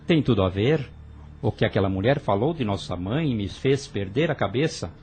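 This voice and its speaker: A man's voice: on the low side, expressive, moderate pace, darkish tone, slight background noise, lightly acted. A man voicing a young brother in an audio drama, earnest and hesitant as he confesses. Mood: tense. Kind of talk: radio drama acting.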